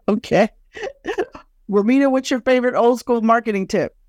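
A woman's voice: fairly high pitch, normal speed, no echo, clean studio sound. A woman hosting a podcast, moderating a panel in a conversational way. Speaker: podcast host.

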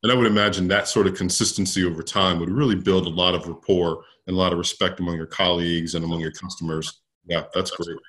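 A man's voice: low voice, steady tone, fast talker, a bit bright. A man speaking as an expert guest in a conversational interview, explaining through stories in an affirming way.

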